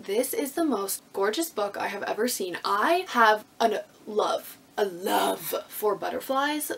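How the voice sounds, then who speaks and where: A woman in her twenties chatting casually to camera as a book vlogger, with an animated, emphatic, slangy way of talking and a husky voice. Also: mid-range voice, slight background noise. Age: 20s. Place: small indoor room with bookshelves.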